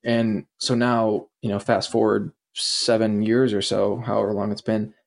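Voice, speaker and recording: A man's voice: on the low side, level tone, measured pace, gravelly. A man in his late twenties, a former athlete, answering casually with lots of hesitant fillers. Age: 20s. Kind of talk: interview answer.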